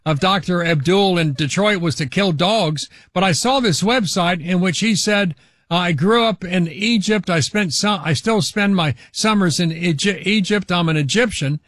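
An older man hosting a talk radio show, giving opinionated commentary in a halting way with restarts. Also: high-pitched, fairly bright tone, dry recording, clean studio sound. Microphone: studio microphone.

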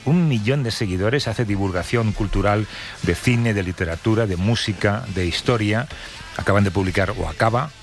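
A man's voice: on the low side, moderate pace, faint music behind. A man with a Spain Spanish accent hosting a radio show, introducing and interviewing a guest conversationally.

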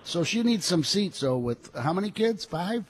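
A hoarse man selling cars on a radio show, talking in a salesy, reassuring way. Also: high voice, very animated, moderate pace, crowd noise. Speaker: car dealer guest.

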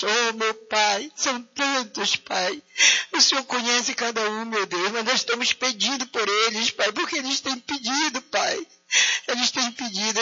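A man's voice: very high-pitched, pushed and bright. A man leading a fervent intercessory prayer, pleading and calling out again and again between phrases. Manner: pleading, with constant repeated invocations. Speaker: prayer leader. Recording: dull lo-fi recording.

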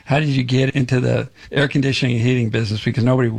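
A man's voice: medium pitch, flat delivery, racing, creaky, a little mellow. A middle-aged man hosting a radio show, chatting in a jokey, effusive way.

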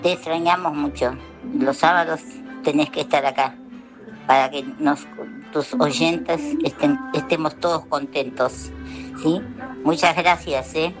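A woman's voice: deep voice, steady tone, measured pace, music in the background. An Argentine woman, a radio listener, sending an affectionate message to the host. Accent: Argentine Spanish. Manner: affectionate, fan-like.

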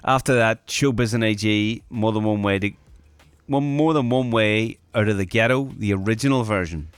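A man's voice: fairly low pitch, moderate pace, clear, resonant tone, no echo. A man hosting a radio music show, chatting casually and laughing easily. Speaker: radio host.

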